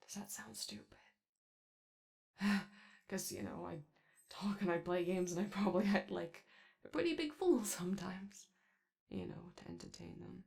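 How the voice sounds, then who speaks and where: A woman voicing an anxious, gentle male animatronic daycare robot in ASMR roleplay, with a strained, raspy voice and hesitant, apologetic speech. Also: lowish voice, sing-song, unhurried, long pauses, exaggerated voice. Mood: insecure, wistful. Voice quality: strained, raspy, creaky, as if voice lost from yelling. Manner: hesitant, nervous, stammering, apologetic. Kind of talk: ASMR roleplay comfort audio.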